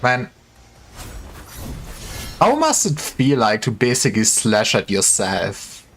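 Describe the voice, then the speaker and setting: A man's voice: mid-range voice, wildly swooping pitch, slow pace, fairly bright tone, soft background music. A man livestreaming games, chatting casually in a joking, rambling way with some stammering. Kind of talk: casual gaming livestream chat.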